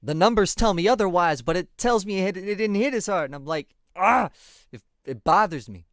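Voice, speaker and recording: Smooth-voiced young man, dry and close. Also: high voice, animated, normal speed, fairly bright tone, dry recording. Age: 20s. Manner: laid-back and chatty, often laughing. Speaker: music reaction streamer. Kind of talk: casual reaction commentary.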